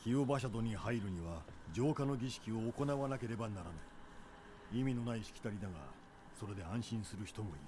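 A man playing a stern, world-weary mentor in a dubbed video game, speaking gravely and dismissively. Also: lowish voice, fast, background noise, close to an everyday voice.

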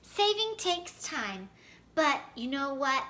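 A woman's voice: high-pitched, very animated, slow, sharp tone, slight background noise, full character voice. A woman voicing an upbeat, encouraging male cartoon superhero in a storybook, with emphatic delivery.

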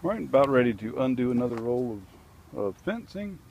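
A man's voice: medium pitch, big pitch swings, fairly quick, gravelly, darkish tone, lo-fi sound, a little hiss or hum. A man working outdoors in a wooded backyard, talking through his fence job into a handheld camera in a plain, practical way, with fillers as he thinks aloud. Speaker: DIY vlogger. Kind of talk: casual how-to narration. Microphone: handheld camera mic.

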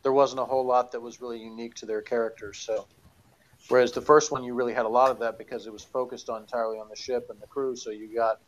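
A man's voice: medium pitch, little pitch movement, fast talker, dull audio. Rambling man chatting at his desk. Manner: rambling and hesitant, many fillers. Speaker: podcast co-host reviewing audio dramas. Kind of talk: casual chat. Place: home office with bookshelves, indoors.

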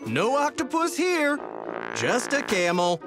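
A woman's voice: high voice, very animated, takes it slowly. A woman narrating a children's storybook read-aloud in a playful, emphatic storytelling manner. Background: music under the narration.

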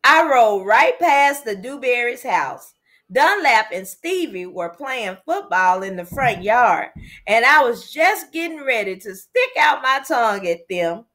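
A young adult woman reads a story to children in a teacherly way. Her voice sits a little high and moves up and down a lot. She takes her time with each phrase, shaping it with expression. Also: fairly bright tone. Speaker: teacher reading a story aloud. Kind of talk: children's storybook read-aloud. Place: kitchen area of a home, indoors. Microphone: webcam or laptop at arm's length.

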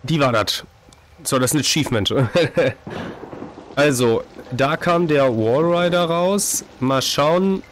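A hoarse man in his thirties with a cold, casually commenting on a horror game at a desk microphone, reacting jumpily to scares. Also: a little high, slow, slight background noise. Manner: chatty, jumpy reactions to scares. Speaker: let's play host. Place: home streaming room, indoors. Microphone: desk microphone, headset on.

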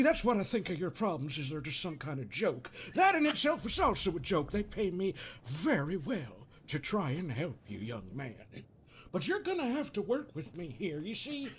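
Man doing a high, theatrical quack therapist. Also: a little hiss or hum. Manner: theatrical, halting, swearing, self-amused with chuckles. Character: quirky, jokey male therapist doctor, theatrical and needling. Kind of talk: improvised comedic game fandub.

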